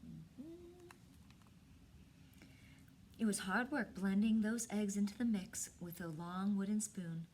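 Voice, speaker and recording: A woman reading a storybook aloud expressively, with dramatic pauses and hushed emphasis. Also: medium pitch, lively intonation, slight background noise. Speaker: storybook reader.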